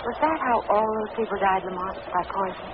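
A woman's voice: mid-range voice, lively intonation, fast, natural voice. An actress playing a poised, inquisitive society woman with a polished, thoughtful manner in 1940s radio style. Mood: relieved, curious. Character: poised, inquisitive society woman, the crime-fighter's loyal companion. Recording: noisy, narrowband vintage radio recording.

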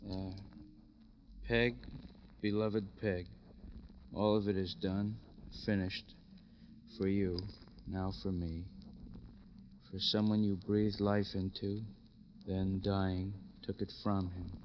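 An actor playing a world-weary, sardonic police detective sidekick in a 1950s radio drama, speaking plainly. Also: male voice, deep voice, little pitch movement, slow pace, long pauses. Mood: solemn reading. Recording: muffled, noisy old radio recording.

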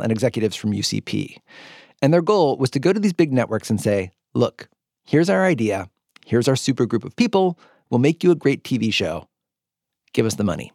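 A man's voice: medium pitch, animated, moderate pace, a little mellow, dry recording, clean studio sound. An American man hosting a podcast, moving between scripted storytelling narration and casual interview questions. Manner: conversational storytelling.

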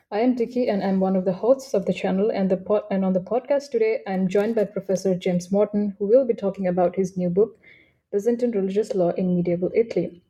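A woman's voice: mid-range voice, dark tone, low-quality recording. A woman hosting a podcast and reading out a guest introduction, with small slips and restarts. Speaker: podcast host.

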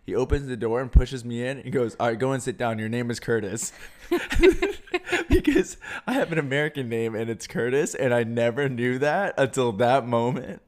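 A man's voice: mid-range voice, lively intonation, moderate pace. A young man, a podcast co-host who grew up speaking Vietnamese, telling a childhood story in casual chat and laughing often.

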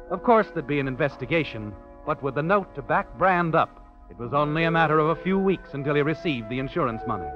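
An actor voicing a sardonic American storyteller of sinister crime tales, knowing and dramatic, in 1940s radio suspense style. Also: male voice, a little high. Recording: muffled vintage radio recording. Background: dramatic music.

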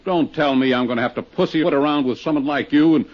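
An actor playing a rude, bad-tempered older businessman with a husky voice, barking his lines in a muffled 1950s radio drama. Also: fairly high pitch, fast talker, natural voice. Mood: belligerent. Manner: gruff, barking and contemptuous.

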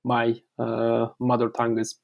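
A man's voice: mid-range voice, completely flat delivery, measured pace, creaky, lo-fi sound. A man from Turkey, a book author answering interview questions hesitantly, with many fillers and restarts. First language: Turkish. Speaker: author guest.

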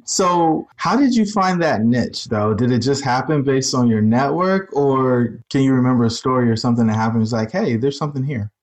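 A woman's voice: very deep voice, expressive, normal speed, hardly any pauses, a little mellow. A woman hosting an interview, asking questions in an enthusiastic, encouraging way. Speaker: interviewer, podcast host. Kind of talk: casual interview.

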